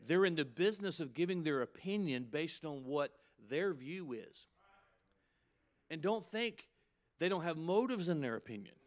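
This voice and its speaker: A man in his fifties preaches with force, his voice jumping higher when he drives a point home. He mixes punchy emphasis with quick, conversational asides to the room. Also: lively intonation. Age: 50s. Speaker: pastor. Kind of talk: sermon to pastors.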